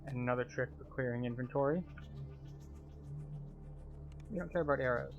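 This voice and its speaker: A man's voice: mid-range voice, normal speed, muffled recording, music in the background. A man giving live gameplay commentary as a tour guide, explaining things step by step. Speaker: game streamer and tour guide.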